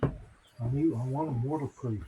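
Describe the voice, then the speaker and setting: Halting older man, noisy outdoors. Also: mid-range voice. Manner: halting, wondering aloud. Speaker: vlog co-host, the husband. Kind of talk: casual chat.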